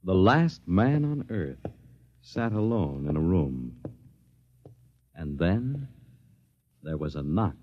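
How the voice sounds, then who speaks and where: A man narrating as a 1950s radio announcer in a dramatic, deliberate manner. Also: low-pitched, sing-song, dry recording. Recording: dull vintage radio recording with music. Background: music.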